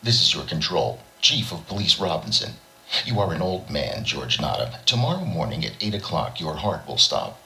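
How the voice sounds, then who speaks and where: Man voicing a croaking, arrogant alien ruler. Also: sits low, very animated, moderate pace, sharp tone, heavily acted, rough recording. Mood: coldly official. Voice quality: croaking, squawking. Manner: curt, commanding. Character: arrogant reptilian alien ruler giving curt commands. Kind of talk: short story narration.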